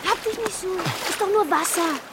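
An actress playing a sharp-tongued, mocking woman on a diving holiday in a radio drama. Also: very high voice, moderate pace, very noisy. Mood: dismissive. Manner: taunting, scornful.

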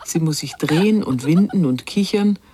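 A woman playing the narrator of a literary radio drama, telling a little girl's story in measured phrases. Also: fairly low pitch, animated, warm tone, slight background noise, lightly acted. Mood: tender. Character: female narrator recounting a little girl's longings.

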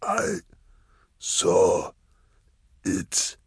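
A man voicing a mortally wounded hunter who gasps out broken, breathy phrases with faltering pauses in a storybook reading. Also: low-pitched, wildly swooping pitch, no room sound, clean studio sound, full character voice. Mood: dying, fearful. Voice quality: breathy, broken, halting whisper. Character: mortally wounded male hunter, gasping his last words.

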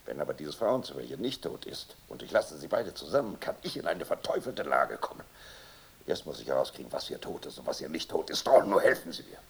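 An actor playing a gruff, commanding submarine captain in a German radio play, with a gravelly, raspy voice and blustering delivery. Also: male voice, rumbling low, sing-song, a little fast, heavily acted. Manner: commanding, rhetorical, swinging from bark to mutter.

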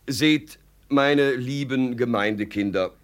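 An actor playing a kindly, moralizing village pastor with an unctuous preaching manner, in 1950s German radio drama style. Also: male voice, medium pitch, natural voice. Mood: pious, gentle. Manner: unctuous, measured preaching with hesitations.

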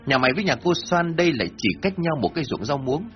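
Casting: man playing a harsh bullying village accuser, shouting and lively, lo-fi. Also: on the high side, fast talker, pitched above the performer's own voice, close to an everyday voice. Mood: accusing. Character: gruff, hot-tempered male villager accuser. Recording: dull lo-fi with faint music.

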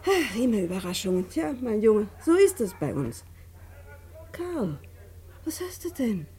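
A woman's voice: medium pitch, sing-song, unhurried, mellow. An actress playing a tender, fussing, unsuspecting middle-aged mother in a German radio play. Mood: fretful, puzzled. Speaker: actor in a radio drama.

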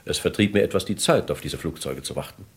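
An actor playing a cooperative kidnapping victim who hesitantly recalls details, in a vintage radio crime drama. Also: male voice, sits low, expressive, quick pace, husky, exaggerated voice. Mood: recalling.

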